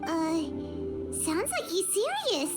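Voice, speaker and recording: A woman voicing a tiny, childlike fairy companion in a video game, squeaky, eager and curious. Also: takes it slowly, over loud music, exaggerated voice. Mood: startled, curious. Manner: excitable, animated. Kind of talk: cartoonish video game sidekick.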